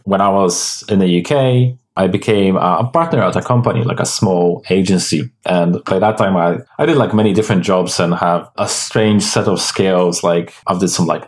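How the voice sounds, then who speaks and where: Deep-voiced Polish-born man, casual. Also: normal speed, few pauses, darkish tone. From Poland. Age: 30s. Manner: rambling, many likes and restarts. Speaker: guest developer. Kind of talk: casual interview chat. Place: home room with window. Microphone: video call with headset.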